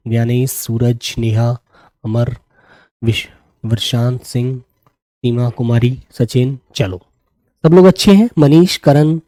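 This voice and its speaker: A man's voice: medium pitch, warm tone, no echo, poor audio. A man with a slightly sore throat, teaching a live online class, addressing students affectionately and repeating key phrases as if dictating. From Uttar Pradesh, India. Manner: affectionate check-ins with students as "beta". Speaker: Hindi teacher of an online exam-prep class.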